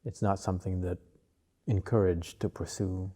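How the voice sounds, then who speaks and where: A man in his fifties from Malaysia, an artist talking about his life in an interview, unhurried and full of pauses and fillers. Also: low voice, steady tone, dark tone, slightly rough. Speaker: artist guest. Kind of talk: relaxed interview conversation.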